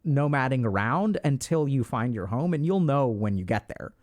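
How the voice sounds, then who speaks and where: A man's voice: medium pitch, lively intonation, moderate pace, a little mellow. A man hosting a casual conversation, speaking directly and confidently while he thinks aloud. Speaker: host.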